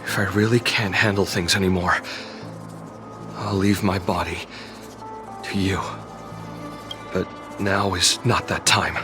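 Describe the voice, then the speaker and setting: An actor playing a weary, idealistic resistance fighter in a video game, speaking earnestly and with measured resolve. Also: male voice, low voice, fairly even intonation, music in the background. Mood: calm resolve. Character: stranded male warrior, weary, steadfast, idealistic resistance fighter. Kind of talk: dramatic video game voice acting.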